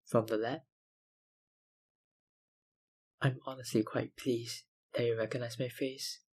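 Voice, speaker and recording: A man playing an obsessive, menacingly polite vampire researcher in an intimate ASMR roleplay, with deliberate, insinuating phrasing and a breathy voice that often drops to a whisper. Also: medium pitch. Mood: sly politeness. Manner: slow, deliberate, politely insinuating, with sighs and pauses.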